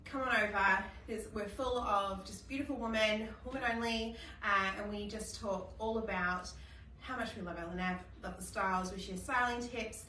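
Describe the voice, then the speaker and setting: A woman's voice: mid-range voice, fairly even intonation, normal speed, sharp tone. A woman with a New Zealand accent hosting a live fashion shopping stream, chatty and gushing with many ums. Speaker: fashion brand host. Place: fashion studio. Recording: echoey room, far from mic, noisy.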